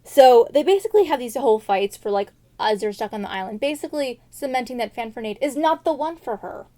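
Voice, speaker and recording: A woman with a high voice tells a fairy tale as if chatting with friends. Her delivery is slangy and quirky, with a theatrical comic streak. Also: animated, a little fast, dark tone. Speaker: storyteller.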